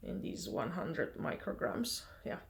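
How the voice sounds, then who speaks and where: A woman's voice: on the low side, big pitch swings, unhurried, gravelly, background noise. A young woman from Romania, chatting casually in a vlog, hesitant with many fillers and self-corrections. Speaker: vlogger.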